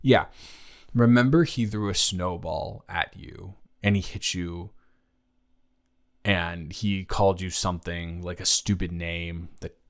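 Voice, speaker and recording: A young man playing a flustered, tender college student talking intimately to a childhood crush in an improvised audio roleplay, stammering and halting. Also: low-pitched, steady tone. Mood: gentle, reminiscing.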